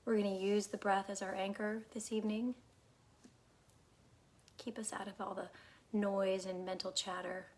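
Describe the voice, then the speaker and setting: A woman leading a guided meditation in a calm, unhurried, soothing way, her soft phrases trailing off into breathiness. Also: mid-range voice. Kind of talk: guided meditation, gentle instruction.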